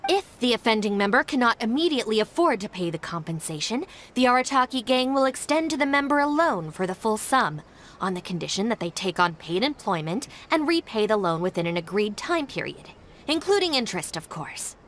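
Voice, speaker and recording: Stern, higher-voiced young gang deputy. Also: female voice, animated, brisk, a bit bright, a little hiss or hum. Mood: stern, businesslike. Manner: formal, firm, lecturing. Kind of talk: video game English dub acting. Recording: music behind the voice. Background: game music.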